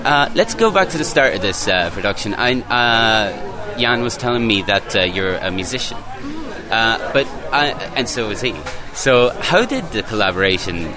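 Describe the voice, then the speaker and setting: A man's voice: on the low side, animated, moderate pace, music in the background. A man from Ireland interviewing a guest, asking long questions with many hesitations and repeated words. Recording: crowd noise outdoors.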